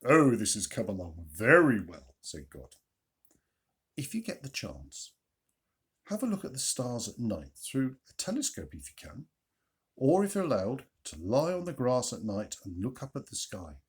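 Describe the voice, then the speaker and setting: A man tells a children's story in a husky voice with very lively intonation. He leans on key words with dramatic pauses and turns to put questions to his young listeners. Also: mid-range voice. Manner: expressive, dramatic emphasis and pauses. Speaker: storyteller, reader.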